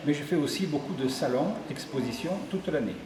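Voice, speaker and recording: Man, middle-aged, slightly high voice, sculptor's explanatory interview talk, reverberant room. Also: a little fast, a little mellow. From Ardèche, southern France. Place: indoors in an exhibition room at a tourist office. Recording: echoey reverberant hall, faint background noise.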